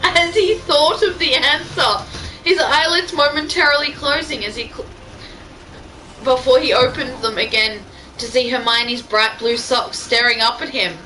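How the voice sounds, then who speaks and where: A woman's voice: high-pitched, a little slow, pushed and bright, low-quality recording. A woman reading fan fiction aloud in an amateur style, breaking off for casual banter. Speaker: reader.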